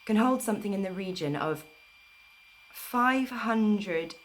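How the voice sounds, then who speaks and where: A woman reading a book aloud in a measured, deliberate storyteller's delivery on an amateur livestream recording. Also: mid-range voice, animated, a little hiss or hum. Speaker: storyteller reading an audiobook live. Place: informal livestream, not a studio.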